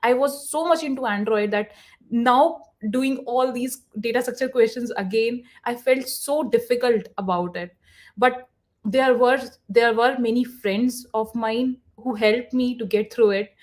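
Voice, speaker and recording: Woman, guest speaker, fairly high voice, earnest, halting, video call. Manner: earnest, halting with many fillers and restarts. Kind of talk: online session answer.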